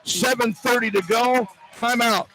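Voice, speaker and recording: A man's voice: very high voice, sharp tone, soft background music. A man calling live basketball play-by-play as a radio announcer, in clipped, urgent phrases. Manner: clipped, rapid-fire phrases that build in urgency. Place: courtside in an indoor arena. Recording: live arena broadcast with clear background noise. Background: noisy arena ambience.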